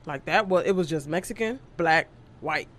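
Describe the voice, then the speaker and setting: A woman in her thirties from New York, an attorney chatting casually, animated and laughing as she acts out what people say. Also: sits low, normal speed. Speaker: attorney, guest. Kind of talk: casual podcast chat.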